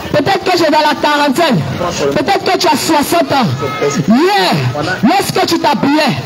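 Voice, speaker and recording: A man with a very high, strained voice preaches in Ivorian French, shouting his refrains again and again. He sounds out in the street, with music playing clearly behind him and a crowd around him. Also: rough recording. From Ivory Coast. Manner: shouting, exhortative, repeats refrains. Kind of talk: outdoor evangelistic sermon. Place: outdoors in a town, with a crowd.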